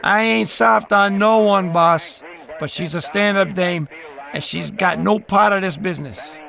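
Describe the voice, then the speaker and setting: A man's voice: sits high, measured pace, gravelly, bright, forceful tone, full character voice. A man playing a loyal, earnest, scrappy gangster underling in a noir audio drama, deferential and full of old mob slang. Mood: earnest, pleading.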